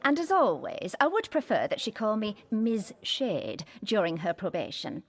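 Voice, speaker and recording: A woman's voice: medium pitch, big pitch swings, moderate pace. An actress playing a pompous, pedantic forensics woman in an audio drama, speaking in a precise, formal way. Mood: haughty. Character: pompous, pedantic, self-important female police forensics colleague.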